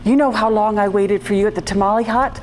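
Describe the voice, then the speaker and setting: A woman's voice: mid-range voice, fairly even intonation, fast talker, slight background noise, lightly acted. A woman playing a feisty, sardonic, scolding police clerk girlfriend in a 1940s radio noir parody.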